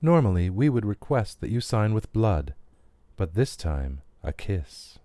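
A man as a dark, low-voiced inhuman dealmaker. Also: sing-song, unhurried, dry recording. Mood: sinister. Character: inhuman company representative, gracious, menacing, predatory bargainer. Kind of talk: dramatic audio fiction reading.

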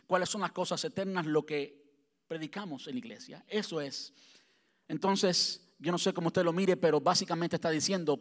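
A man from Cuba with a Cuban Spanish accent, preaching a sermon as pastor and driving his points home with rhetorical questions and repetition. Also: on the high side.

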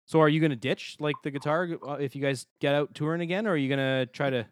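A man's voice: fairly high pitch, steady tone, fast talker. A man hosting a podcast in casual chat, mostly giving short, easygoing affirmations. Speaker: podcast host.

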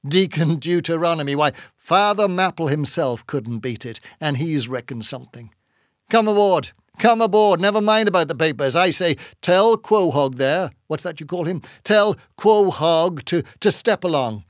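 A gruff old sea captain, acted out by a male narrator, blustering and hearty in a storybook reading. He is bossy and salty, and his voice is lifted above a plain speaking pitch in a close, dry sound. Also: normal speed, hardly any pauses, no echo, lightly acted. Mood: hearty, excited. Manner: loud hailing, salty nautical exclamations. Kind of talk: dramatised audiobook reading.

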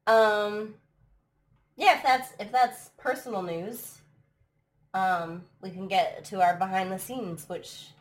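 Brief: woman, live stream host, throaty from allergies, chatty, laughs a lot, mid-pitched voice. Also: takes it slowly. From United States. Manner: chatty, laughs often, many restarts. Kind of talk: casual chat. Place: at home abroad, live stream.

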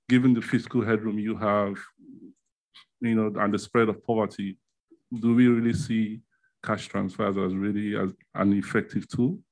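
Low-pitched man posing seminar questions. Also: rough recording. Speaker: audience member asking questions. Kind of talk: spoken questions at a policy seminar.

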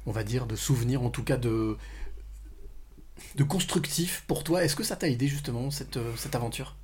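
A man's voice: medium pitch, normal speed, darkish tone, slightly rough. A man hosting a conversational podcast interview, often repeating and rephrasing as he goes. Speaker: podcast host.

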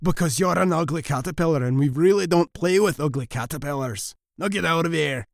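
Snooty little mice, voiced by a man, slightly high, dry. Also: brisk, gravelly, dry recording. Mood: mean.

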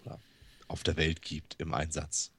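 A man co-hosting a casual tech podcast over an internet call, talking quickly as he explains things. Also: rumbling low, monotone, pushed and bright, slightly rough, no echo. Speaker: podcast co-host. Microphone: headset over a Skype call.

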